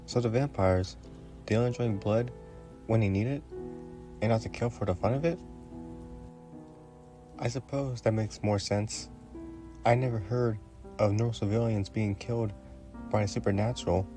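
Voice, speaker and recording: A man playing a polite, gentle young prince in a romantic fantasy audio roleplay, speaking formally and a little hesitantly. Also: on the low side, steady tone, normal speed, pauses often, over music, lightly acted. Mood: polite, curious. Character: young prince, courteous, gentle, shyly curious royal.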